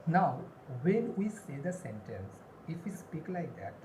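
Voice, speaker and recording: A woman gives a spoken English lesson in a low, very animated voice. She speaks deliberately and repeats key phrases to stress them. The recording is roomy and echoey. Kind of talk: instructional lesson with numbered points and examples. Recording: roomy echo, clear background noise.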